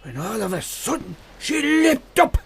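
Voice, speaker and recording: An actor playing a genial old storyteller from an island, with an Irish accent, folksy and vivid, in a radio drama. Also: male voice, extremely high pitch, sing-song, measured pace, creaky, heavy background noise, exaggerated voice. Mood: animated storytelling. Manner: folksy, teasing, vivid narrator. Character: genial old island storyteller, male, spinning yarns for children.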